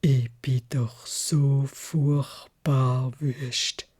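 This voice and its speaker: A gentle storybook beast played by a woman speaks Swiss German in a low, very slow voice. He is soft, courteous and heavy with sorrow, a lonely ugly lord of a castle. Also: steady tone, breathy edge, exaggerated voice. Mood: humble, tender. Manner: slow, halting, melancholy. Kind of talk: storybook fairy-tale character voice.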